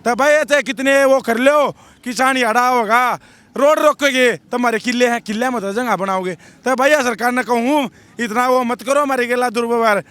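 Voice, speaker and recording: A young man with a Haryanvi accent, a protesting farmer, speaking hoarsely in a blunt, defiant, rambling way in a street interview. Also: extremely high pitch, moderate pace, fairly bright tone.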